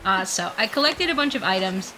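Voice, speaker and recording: A young woman livestreaming from home with a slight cold, rambling through her plans in a loose, scatterbrained way full of ums and restarts. Her voice sits at a middle pitch, and she answers chat with soft little laughs. Game music plays clearly behind her. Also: animated, brisk, poor audio. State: has a mild cold. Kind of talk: casual live-stream chat while playing a game. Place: room at home with the window open.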